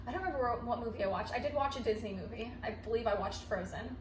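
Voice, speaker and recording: A young woman with an American accent chats casually at a quick clip, explaining things as she goes. Her voice sits a little high. It is recorded in an echoey room, away from the microphone, with clear noise. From United States. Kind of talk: casual chat. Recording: echoey room, distant mic, noticeable noise.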